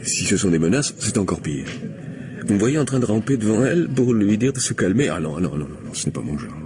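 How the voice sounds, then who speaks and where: A glib gentleman who insists and wheedles in a low voice. He is talkative and stammers his way along in an old French radio play. The recording is old, with clear background noise. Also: big pitch swings, a little fast. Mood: scornful. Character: glib, insistent gentleman pestering a young woman.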